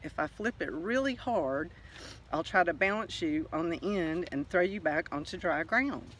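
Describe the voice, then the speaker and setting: A man voicing a friendly male bass fish in a storybook tale, offering to help. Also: animated, normal speed, fairly bright tone, a little hiss or hum. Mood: helpful.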